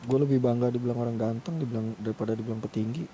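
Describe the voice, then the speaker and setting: Pria Indonesia bersuara sangat gelap. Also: male voice, medium pitch, fairly even intonation, very fast, warm tone, a little hiss or hum. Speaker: fellow player in online roleplay game. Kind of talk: casual in-game chat.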